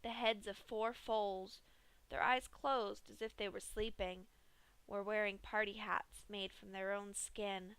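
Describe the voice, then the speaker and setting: Young female story reader, bright and higher voice, dry close mic. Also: flat delivery, slow pace, clean tone, dry recording. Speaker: fanfic reader. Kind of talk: dramatic story reading.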